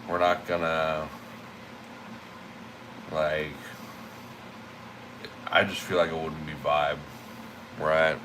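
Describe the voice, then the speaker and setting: A man's voice: a bass voice, a bit bright, a little hiss or hum, rough recording. A man livestreaming in casual chat, sniffly and stuffy-nosed, rambling and repeating himself in a laid-back way.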